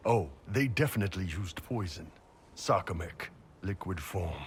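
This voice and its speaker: An actor playing a big, gruff horned mercenary warrior, blunt and wry, in a video game cutscene. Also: male voice, low-pitched, lively intonation, a little slow, slight background noise. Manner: blunt, wry, swearing soldier.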